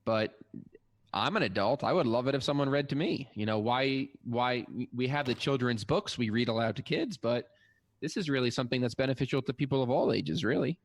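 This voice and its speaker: A man's voice: mid-range voice, fairly quick. A man speaking conversationally as a co-host in a podcast discussion. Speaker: therapist working with students.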